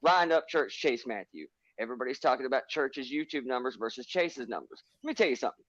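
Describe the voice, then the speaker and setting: A man's voice: mid-range voice, lively intonation, fast, a bit bright, dry recording. A man on a livestream panel, delivering emphatic, profane, lecturing rants in a heated chat. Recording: dull lo-fi stream audio.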